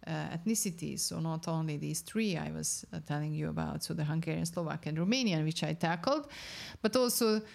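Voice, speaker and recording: A woman's voice: deep voice, brisk, vocal fry, a little mellow. A young woman researcher in an interview, explaining her study's findings carefully and searching for words as she goes.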